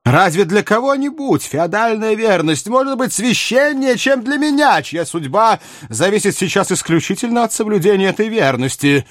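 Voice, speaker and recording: Scheming flatterer of a French monarch, performed by a male narrator: raised pitch, wheedling, ironic exclamations, historical audiobook. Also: normal speed, hardly any pauses, dry recording, studio quality. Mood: aggrieved flattery. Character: cunning flattering French king, theatrical and impulsive. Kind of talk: dramatized audiobook reading of a historical novel.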